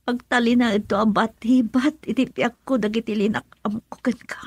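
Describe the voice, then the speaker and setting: A young Filipino radio drama heroine, high and breathy, recorded close and dry. She is earnest and wounded, heavy with remorse. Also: steady tone, normal speed, dry recording. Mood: bitter.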